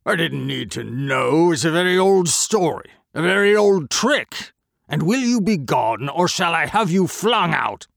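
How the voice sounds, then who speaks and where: Man reading as a scornful, sickly old merchant for a storybook; raised pitch, forceful. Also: very animated, a little slow, vocal fry, no echo, clean studio sound, full character voice. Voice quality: sharp and scornful, sly at the end.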